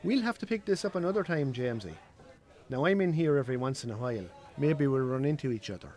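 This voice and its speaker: A man's voice: mid-range voice, lively intonation, fast talker, a little mellow, lightly acted. An actor playing a breezy, sociable Irish man in a naturalistic radio drama, chatty and jovial. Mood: polite, breezy.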